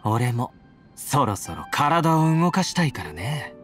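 A man playing a confident, playful young warrior in a Japanese anime-style game, teasing and affectionate in a breezy, smooth-talking way. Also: medium pitch, big pitch swings, slow. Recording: game audio with background music.

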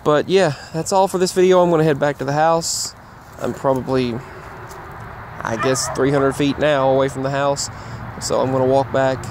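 A man giving a casual, rambling update while walking outdoors, halting and often repeating himself. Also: mid-range voice, steady tone. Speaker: vlogger. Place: outdoors, walking in a grassy yard near roads. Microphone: earbuds microphone with a phone. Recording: clear outdoor traffic noise. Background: passing traffic.

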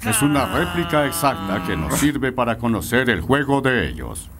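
A man's voice: mid-range voice, sing-song, moderate pace, music in the background. A man voicing an authoritative, no-nonsense soccer coach in a cartoon dub. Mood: firm, explanatory. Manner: firm, instructive. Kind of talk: animated cartoon dub acting.

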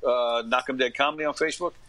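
A man's voice: medium pitch, steady tone, fast, sharp tone. A middle-aged man talking casually as an interview guest, earnest and emphatic, often repeating his phrases. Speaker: podcast guest, actor and comedy radio host.

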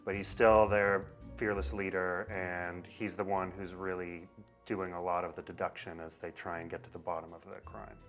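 A man's voice: low voice, flat delivery, normal speed, fairly bright tone, faint music behind. A man in his thirties explaining characters in an indoor interview. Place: indoor office with artwork on walls.

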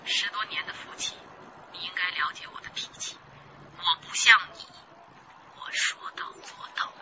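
An actress playing a bitter, vengeful wife over a telephone in a radio crime drama, sarcastic and menacing. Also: female voice, on the low side, moderate pace, bright, forceful tone. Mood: cold menace. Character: bitter, vengeful wronged wife making threats. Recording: dull, noisy phone line.

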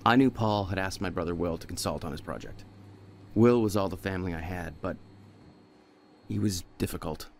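Game narration: troubled hero looking back, somber, deep register, rough creak. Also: male voice, moderate pace, pauses often, a little hiss or hum. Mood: somber, reflective. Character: brooding male hero narrating his past, troubled younger brother. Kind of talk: reflective scripted game narration.

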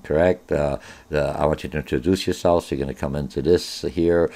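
A man's voice: rumbling low, steady tone, brisk, warm tone. A middle-aged man, a college business instructor whose first language is Polish, giving an informal online course orientation with many filler sounds. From born in Germany.

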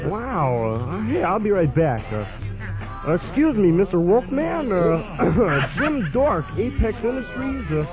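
A man's voice: high voice, big pitch swings, slow pace, music in the background, heavily acted. A man playing a naive, nervous young salesman in a comic radio play, with a hesitant, stammering manner. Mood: eager.

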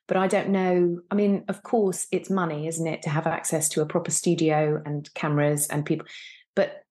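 A woman in her thirties with a voice a little lower than average talks casually as a podcast guest. She thinks aloud, reshaping her phrases as she goes, over a video call. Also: flat delivery, moderate pace, darkish tone. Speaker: guest, actor. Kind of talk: casual interview conversation. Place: indoor room, on a video call.

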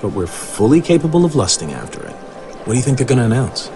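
A young actor playing a cheeky, eager film graduate who wisecracks conversationally in an animated comic drama. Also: male voice, medium pitch, fast, over music. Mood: excited. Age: young adult.

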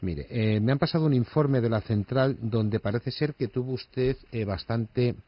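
A man's voice: mid-range voice, normal speed, lo-fi sound, heavy background noise, pitched below the performer's own voice, natural voice. A man playing a condescending, confrontational phone company manager in a prank call, using formal address. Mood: stern, measured. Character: curt, scolding male phone company department manager.

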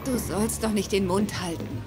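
A woman's voice: medium pitch, normal speed, loud music behind the voice, close to an everyday voice. An actress playing a friendly but uneasy young woman who is easily flustered, in a dubbed sitcom.

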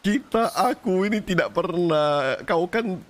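A man's voice: sits high, expressive, unhurried. A man streaming a roleplay game, playing a whiny, pleading newcomer in a playful way. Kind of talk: live game roleplay with commentary.

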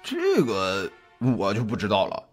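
A man playing a jovial, chatty private detective in an audio drama, talking colloquially with drawn-out hesitations in a husky voice. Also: lowish voice, wildly swooping pitch. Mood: gossipy. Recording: music behind the voice.

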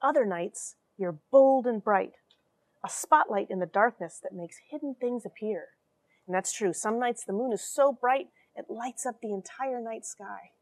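A woman in her forties reading and explaining a story to children in an animated, questioning way from a small airlock. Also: on the high side, a little slow, dry recording. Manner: animated, expressive, addresses young listeners with questions. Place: small cramped metal space station airlock.

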